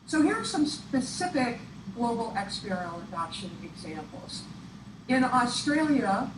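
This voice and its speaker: Echoey, distant woman giving an instructional presentation. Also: mid-range voice. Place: echoey room, picked up from afar. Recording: echoey, distant room recording with clear noise. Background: clear room noise.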